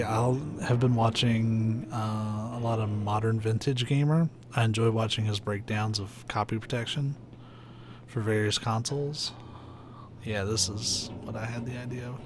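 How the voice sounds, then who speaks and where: A young man with a soft, soothing voice, chatting casually and rambling while he plays a video game on stream. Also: lowish voice. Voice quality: soft, soothing, sleepy-sounding voice. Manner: rambling, laid-back, many "like"s and "uh"s. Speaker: let's play streamer. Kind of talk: casual commentary while gaming. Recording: steady background noise hiss.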